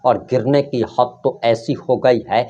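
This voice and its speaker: An Indian male news presenter with a medium-pitched voice delivers pointed, emphatic commentary straight to his viewers. His manner is accusatory, and the recording is a little dull and lo-fi. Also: fairly even intonation, a little slow, warm tone, husky. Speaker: news presenter of an online channel. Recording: dull, band-limited sound.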